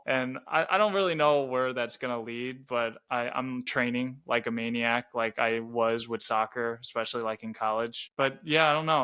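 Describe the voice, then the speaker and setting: Young man, from Chicago suburbs, podcast co-host, casual rambling chat, medium pitch, bright voice. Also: smooth. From Chicago suburbs, Illinois. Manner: relaxed, rambling, many "like" fillers.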